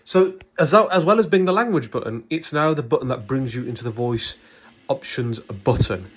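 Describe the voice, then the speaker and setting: A man presenting a step-by-step product demonstration, instructing in a halting way as he thinks aloud. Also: mid-range voice, slight background noise.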